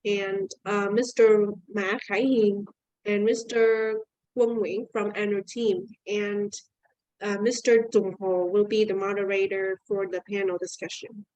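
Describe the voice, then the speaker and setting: A woman's voice: medium pitch, level tone, a little slow, darkish tone, no echo, highs cut off. A woman hosting an event, formally introducing the panelists. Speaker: event host.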